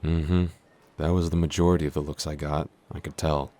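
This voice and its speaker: A man's voice: very deep voice, little pitch movement, fairly quick, warm tone. A man playing a shy, clumsy giant in a roleplay audio, stammering, hesitant and constantly apologetic. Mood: resigned, shy. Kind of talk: intimate roleplay audio acting.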